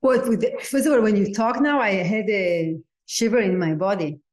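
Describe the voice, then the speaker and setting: A woman's voice: medium pitch, moderate pace, darkish tone. A woman whose first language is Hebrew, talking reflectively on a podcast and sometimes searching for words in English. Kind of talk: casual podcast conversation.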